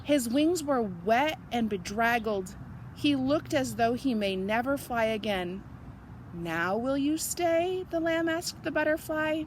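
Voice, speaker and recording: A woman reading a picture book aloud to children as expressive narration, sing-song and emphatic. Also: fairly high pitch, unhurried, a little hiss or hum, rough recording.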